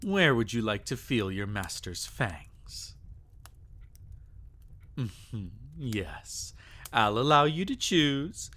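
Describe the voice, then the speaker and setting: A man's voice: medium pitch, sing-song, slow pace, long pauses, pushed and bright, full character voice. A man acting as a sadistic, possessive vampire lord in an intimate ASMR roleplay, teasing and taunting with theatrical, menacing endearments. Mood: menacing, seductive.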